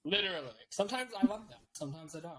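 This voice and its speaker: A man in his late twenties from Washington State, a guest talking over a video call, laid-back and quick to laugh. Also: high-pitched, expressive, fairly bright tone. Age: 20s. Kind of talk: casual interview chat.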